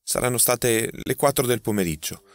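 A man's voice: medium pitch, expressive, moderate pace, no room sound, studio quality, voice pushed up, close to an everyday voice. A man voicing a frightened young man accused of murder, his words tumbling out in agitation. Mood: distraught. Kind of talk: audiobook character voicing.

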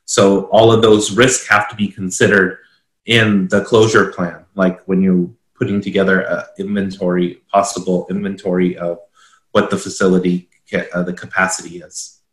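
A man in his forties explaining requirements informally and haltingly, repeating words as he goes. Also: deep voice, little pitch movement. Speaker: consultant explaining a standard. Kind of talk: explanatory talk, reading requirements aloud. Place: small indoor office. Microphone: video call computer microphone. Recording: echoey room, video call.

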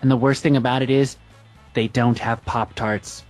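A man's voice: medium pitch, level tone, normal speed, over loud music, natural voice. A man voicing a polite, composed teenage schoolboy with mock solemnity in anime fan dub outtakes. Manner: mock-solemn, joking asides.

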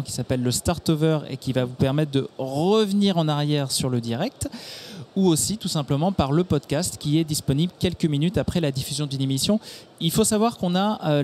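A man's voice: on the high side, darkish tone. A man in his thirties with a French accent, giving articulate explanations as an executive guest in a promotional interview. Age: 30s. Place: exhibition studio stand at a motor show. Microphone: handheld microphone. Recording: clear background ambience noise.

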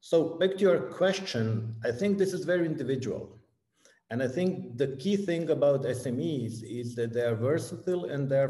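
A man's voice: mid-range voice, dark tone. A man in his fifties with a Croatian accent explaining things as a panelist on a video call from a plain office. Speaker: biotech company leader, webinar panelist. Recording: echoey room over video call.

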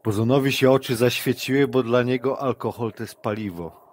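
A man's voice: mid-range voice, steady tone, fairly quick. A man playing his character at an online tabletop session, blunt and crude. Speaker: player. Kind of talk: in-character roleplay.

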